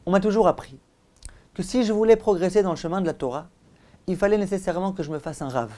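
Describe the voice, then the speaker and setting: A man giving a Torah class as a rabbi, didactic and emphatic, asking rhetorical questions, repeating for emphasis and letting phrase endings drop softly. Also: high voice, quick pace. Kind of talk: Torah lecture.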